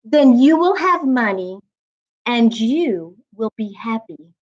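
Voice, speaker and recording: Woman voicing a gentle, giving storybook tree, unhurried. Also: on the high side, very animated, dull audio, heavily acted. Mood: tender, giving. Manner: gentle, giving, soft-spoken. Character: loving, self-sacrificing female tree, tender and sad.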